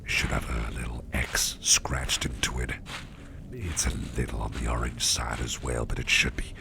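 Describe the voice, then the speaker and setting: Man voicing an extremely deep, gravelly whispering boss. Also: noisy background, heavily acted. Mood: confiding, covert. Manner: slow, halting, repeating phrases, softly chuckling. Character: mischievous, toothy businessman boss, affectionate and covert. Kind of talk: intimate ASMR roleplay, murmured to the listener.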